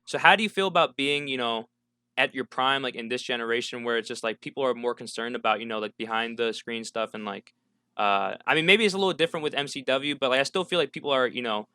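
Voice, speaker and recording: A man's voice: mid-range voice, fast, pushed and bright, smooth, no room sound, clean studio sound. A man co-hosting a casual interview podcast, asking long, meandering questions. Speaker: podcast co-host.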